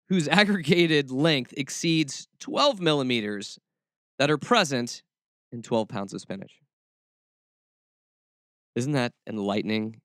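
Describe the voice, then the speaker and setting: A man's voice: medium pitch, a bit bright, clear, resonant tone, dry recording. Dry-miked male podcast host with wry asides. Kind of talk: casual talk show commentary.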